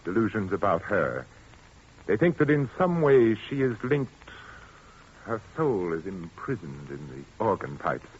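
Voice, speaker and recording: An actor playing a smooth, sinister widower in 1940s radio drama, suave and insinuating. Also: male voice, sits low, sing-song, a little slow, heavily acted. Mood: brooding. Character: smooth sinister widower, middle-aged man. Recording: dull old radio recording with faint hiss.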